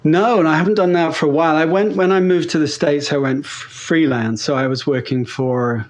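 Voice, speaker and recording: A man's voice: fairly high pitch, brisk. A man with a mixed British and Australian-sounding accent, a guest talking casually in an interview, rambling with many fillers and restarts. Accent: neutral British-Australian blend. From England. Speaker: guest pedal builder.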